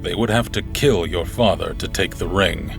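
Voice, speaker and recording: A man playing a grave, loyal royal guard officer in an audio drama, with a gravelly, husky voice. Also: deep voice, moderate pace. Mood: grave, probing. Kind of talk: audio drama acting and first-person narration. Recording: dry close recording under music.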